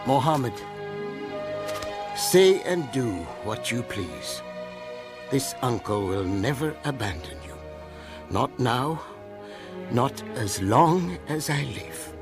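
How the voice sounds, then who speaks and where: An old, earnest uncle. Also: male voice, mid-range voice, takes it slowly, pauses often. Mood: resolute. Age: older. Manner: earnest, pleading, steadfast. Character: old uncle, protective clan elder. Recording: animated film with music behind.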